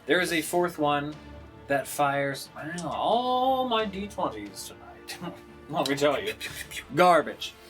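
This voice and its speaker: A man narrating a tabletop roleplaying game as game master, improvising with halting pauses, with music playing behind him. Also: fairly high pitch, big pitch swings, fairly bright tone. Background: background music.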